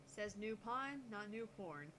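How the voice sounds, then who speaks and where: A woman's voice: mid-range voice, lively intonation, unhurried, sharp tone. A woman co-hosting a gaming channel with casual, playful banter. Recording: clear background noise, room ambience.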